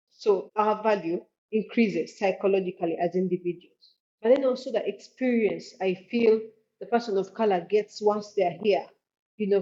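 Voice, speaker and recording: A woman's voice: mid-range voice, dark tone. A woman with a Ugandan accent giving earnest, explanatory answers as a travel expert in an interview, often adding "you know". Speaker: podcast guest, Africa travel expert. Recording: slightly dull, lo-fi audio.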